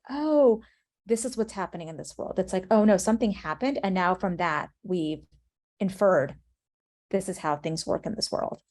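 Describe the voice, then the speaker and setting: A woman's voice: medium pitch, a little mellow. A woman with an American accent giving thoughtful interview answers as a writer, earnest and reflective in manner. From United States. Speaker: screenwriter and playwright, interview guest.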